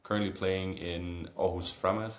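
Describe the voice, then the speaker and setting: A young man with a Danish accent and a low voice speaks in a very flat, unhurried way. He pauses often with hesitant fillers as he answers casually as an interview guest. The sound is roomy and echoing. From Copenhagen, Denmark. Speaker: interview guest, football goalkeeper.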